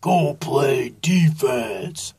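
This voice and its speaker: A woman's voice: extremely low pitch, expressive, extremely slow, warm tone, husky, full character voice. Woman voicing a terse rhino football coach. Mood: commanding.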